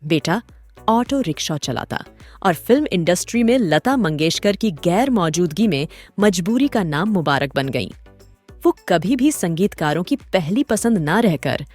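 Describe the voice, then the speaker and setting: Woman narrator, lowish voice w lots of rise and fall, dramatic documentary storytelling, music behind. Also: sing-song, measured pace, darkish tone. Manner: dramatic, literary Urdu-tinged storytelling. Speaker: host and narrator. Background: background music.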